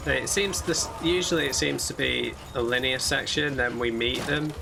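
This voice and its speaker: A hoarse man commenting casually on a story game as he plays it, musing aloud and chatting to his viewers. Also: medium pitch, normal speed, music in the background. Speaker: let's play gamer. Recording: loud game gunfire and vehicles behind voice.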